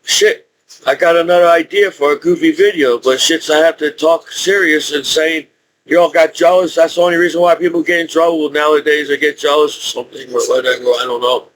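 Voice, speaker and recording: A man in his forties speaking in a rambling, halting monologue with frequent restarts and laughs. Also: fairly high pitch, fairly even intonation, a bit bright, slight background noise, low-quality recording. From United States. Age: 40s. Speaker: YouTuber.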